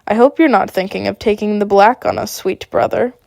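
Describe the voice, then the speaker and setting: A woman's voice: medium pitch, brisk, creaky, a little mellow, no room sound, clean studio sound, lightly acted. Woman reading a smug, cruel knight. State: sick.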